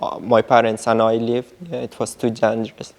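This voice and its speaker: A man from Afghanistan speaking hesitantly, with frequent pauses and fillers. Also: mid-range voice, monotone.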